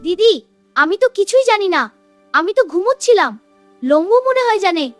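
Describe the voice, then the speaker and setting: Tiny, squeaky-high childlike ghost. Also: female voice, expressive, a little fast, faint music behind. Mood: innocent. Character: small childlike ghost, timid but eager. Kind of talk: cartoon voice acting.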